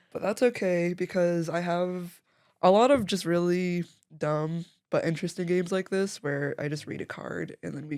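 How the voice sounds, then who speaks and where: A woman's voice: on the low side, a little mellow. Chatty, giggly woman podcast host. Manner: chatty and giggly, laughs often. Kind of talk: casual conversation.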